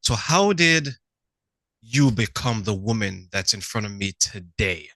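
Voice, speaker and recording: A middle-aged man hosting a conversational interview, telling stories in an animated way and often checking in with the listener. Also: lowish voice, measured pace, dry recording. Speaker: talk show host.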